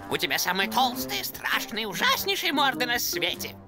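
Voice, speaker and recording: Lady doing a sneaky cartoon croc bad guy, high voice jumping all over, taunting. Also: wildly swooping pitch, music in the background. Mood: scheming, mocking. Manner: sneering, taunting, drawn-out. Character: mean, sneaky male crocodile villain in cartoon. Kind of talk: children's cartoon dub.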